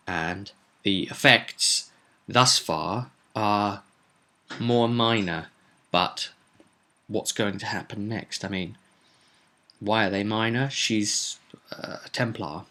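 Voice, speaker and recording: Male game master, lowish and bright, forceful delivery. Theatrical recap style with emphatic words and deliberate pauses. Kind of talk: dramatic storytelling recap.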